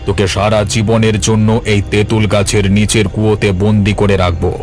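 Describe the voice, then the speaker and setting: A man's voice: on the low side, level tone, a little fast, lightly acted. A man playing a stern, commanding, unforgiving jinn-catcher in a dramatic cartoon. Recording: loud background music over the voice.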